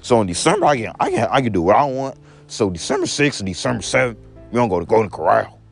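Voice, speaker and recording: A young man with a deep voice talking in a rambling daily vlog, repeating each point in an if-you, to-me pattern and calling his viewers guys. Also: wildly swooping pitch, quick pace, vocal fry, slightly rough, soft background music. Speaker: vlogger.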